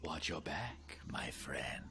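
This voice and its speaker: Sly creature merchant. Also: male voice, very deep voice, animated, takes it slowly, creaky, full character voice. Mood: hushed. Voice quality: soft-spoken, courtly. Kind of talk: video game voice acting. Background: game music.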